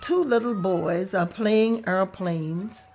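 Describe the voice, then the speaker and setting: A woman reading stage directions aloud at a table read in a slow, measured way. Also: mid-range voice, a little mellow.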